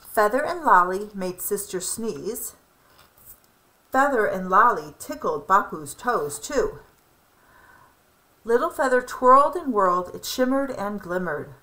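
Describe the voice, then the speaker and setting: A woman reading a children's picture book aloud in an expressive, emphatic and deliberate way. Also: mid-range voice, low-quality recording. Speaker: storybook reader.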